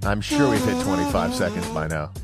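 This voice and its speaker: Dry, sarcastic male radio co-host, lively intonation. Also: deep voice, brisk, loud music behind the voice. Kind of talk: casual sports radio banter. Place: radio studio.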